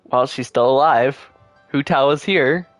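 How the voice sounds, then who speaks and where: A man streams a video game with casual, conversational commentary. His voice sits at a medium pitch, with faint game music in the background. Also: unhurried, no room sound.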